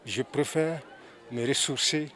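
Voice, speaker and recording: A man's voice: mid-range voice, unhurried, bright, forceful tone. A man interviewed at an event, speaking hesitantly as he searches for words. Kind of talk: news vox pop.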